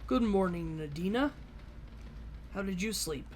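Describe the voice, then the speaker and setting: A woman's voice: medium pitch, animated, a little slow, a little mellow, slight background noise. A woman giving casual, wry gameplay commentary, sometimes speaking in character as she plays. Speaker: let's play host, gamer. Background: game music.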